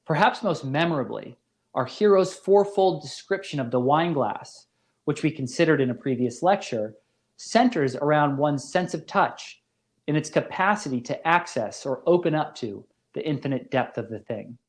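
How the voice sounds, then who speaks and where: A man giving a scripted academic lecture as a philosophy professor, in a measured, formal manner. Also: on the high side, lively intonation.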